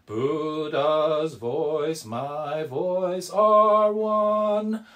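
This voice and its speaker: A person leading a Buddhist service, reciting and chanting devotional verses in a measured, solemn, sing-song way. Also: medium pitch.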